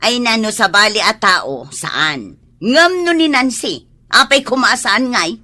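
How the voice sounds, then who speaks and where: A woman's voice: a little high, big pitch swings, measured pace, sharp tone, heavily acted. A woman with an Ilocano accent playing a spiteful, envious wife and mother with a scornful manner in a radio drama. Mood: mocking.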